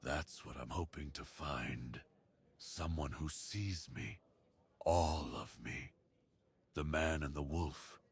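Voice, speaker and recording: A man plays a brooding werewolf with an extremely deep, heavily breathy, husky voice. He speaks slowly and intimately, as a lonely beast confiding his longing in an ASMR roleplay. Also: exaggerated voice. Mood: brooding, wistful.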